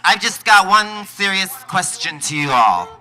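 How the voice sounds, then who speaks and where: A man's voice: high voice, sing-song, unhurried, bright, forceful tone, faint music behind. A male voice actor bantering playfully on a live convention stage. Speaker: voice actor on a panel.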